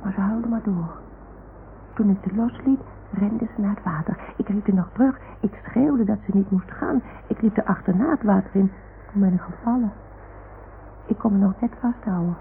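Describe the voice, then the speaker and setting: A woman's voice: mid-range voice, normal speed, lightly acted. A woman playing an imaginative, earnest young boy who repeats grown-ups' words to his toy animals in a radio play. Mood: anxious confiding. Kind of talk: 1960s Dutch radio play acting. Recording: old radio recording, phone-like band, noise.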